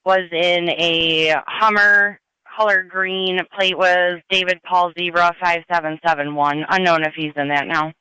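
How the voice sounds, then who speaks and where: A man's voice: high-pitched, fairly even intonation, a little slow, few pauses, pushed and bright, clean tone, poor audio. A man working as a police dispatcher, giving clipped, businesslike procedural calls over two-way radio. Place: heard over a live scanner stream.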